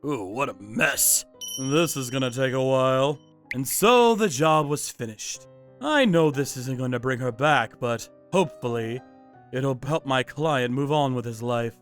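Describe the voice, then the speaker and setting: Manga dub vigilante played by a man: vengeful, contemptuous, slow deliberate delivery with wide swings, music underneath. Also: medium pitch, lively intonation, lightly acted. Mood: cold, contemptuous. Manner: menacing, mocking, contemptuous. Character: cold vengeful vigilante torturer, narrating his own revenge jobs. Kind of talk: first-person manga dub narration with acted dialogue.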